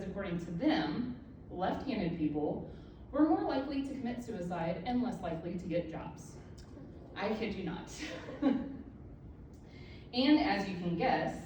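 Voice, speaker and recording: A woman's voice: mid-range voice, dark tone. A woman in her thirties gives a personal speech to an audience as an author, candid and wry about herself. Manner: candid, self-deprecating humor. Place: event room with an audience. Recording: echoey room, distant mic, background noise.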